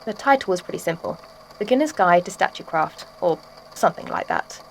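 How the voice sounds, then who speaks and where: A woman's voice: on the low side, lively intonation, a little fast, gravelly, slight background noise, poor audio. A woman playing a former schoolteacher turned obsessive artist, giving a chatty, matter-of-fact confession in an audio drama. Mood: chatty, wry. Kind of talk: audio drama statement monologue.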